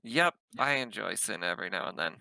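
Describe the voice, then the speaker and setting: A man's voice: fairly low pitch, lively intonation, fairly quick, vocal fry, bright, forceful tone, no room sound, heavily acted. A man playing a casual, wry female spaceship captain in a tabletop game, speaking in an offhand way. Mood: wry, businesslike.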